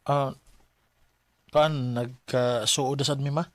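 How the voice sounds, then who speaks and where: An actor playing a hesitant, evasive young man in a radio drama, with a Filipino Cebuano accent and halting delivery. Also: medium pitch, steady tone, no room sound, lightly acted. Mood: casual. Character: hesitant young man, evasive boyfriend and son named Jay.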